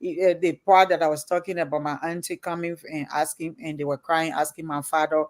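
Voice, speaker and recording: A woman hosts an interview, talking in an earnest, halting way. She fills her sentences with ums and restarts as she works through her thoughts. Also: deep voice, little pitch movement. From Liberia. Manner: earnest, halting, many fillers. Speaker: host. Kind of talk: casual interview chat.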